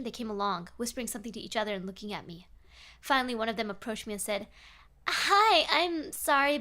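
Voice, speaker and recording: A woman's voice: a little high, pushed and bright. A woman narrating a horror story aloud in a dramatic read-along style. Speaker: streamer reading horror stories aloud.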